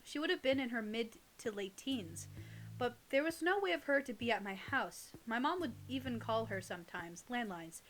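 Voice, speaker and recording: A woman's voice: medium pitch, fairly bright tone, rough recording. A woman co-hosting a casual reading of online stories, chatting and teasing in a playful way. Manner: playful, teasing banter with co-host. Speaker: host and reader of online horror stories.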